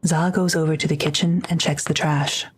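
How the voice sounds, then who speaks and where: Even, lower-pitched female narrator. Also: brisk, a little hiss or hum. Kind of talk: scripted present-tense drama narration.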